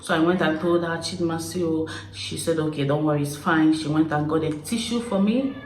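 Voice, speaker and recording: A young woman from Nigeria with an Igbo accent, casually telling stories to camera in an expressive, chatty way. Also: low voice, fairly quick, roomy sound, a little hiss or hum. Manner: chatty, expressive, laughing storyteller. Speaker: YouTuber. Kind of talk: casual confessional chat to camera.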